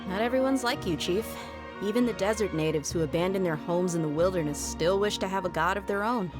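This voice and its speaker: A woman's voice: mid-range voice, a little fast, over music, close to an everyday voice. A woman playing a tough, pragmatic young bodyguard in a video game, blunt and casual in a streetwise way. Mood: calm.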